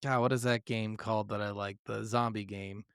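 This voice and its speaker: Blunt, clear-voiced man on a podcast. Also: on the low side, level tone, moderate pace, fairly bright tone, dry recording, clean studio sound. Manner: skeptical, blunt, profane. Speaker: gaming podcast co-host. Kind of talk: casual, opinionated gaming chat.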